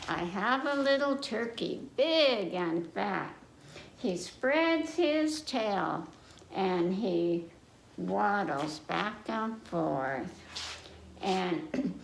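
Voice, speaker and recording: An American woman reading a picture book aloud to children, speaking simply and pausing to check in with her young listeners. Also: medium pitch, creaky. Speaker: children's librarian, story time host. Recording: echoey room with faint noise.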